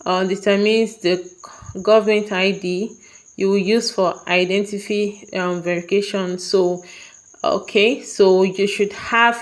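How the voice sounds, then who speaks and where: A woman from Nigeria with a Nigerian accent walks viewers through an online sign-up, one step at a time. She speaks unhurriedly at a mid pitch, pausing often to check they are following. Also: steady tone. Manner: patient, repeats "okay?" to check in with viewers. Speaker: tutorial vlogger. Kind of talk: step-by-step screen walkthrough.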